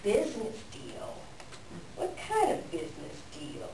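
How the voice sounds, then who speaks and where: A woman's voice: medium pitch, huge pitch swings, measured pace. Woman playing a folksy scoundrel coyote, echoey room. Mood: incredulous, grumbling. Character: sly, selfish coyote trickster, folksy scoundrel of animal folktale. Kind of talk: staged reader's theater. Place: live reading in a library room. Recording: echoey room, distant mic, background noise.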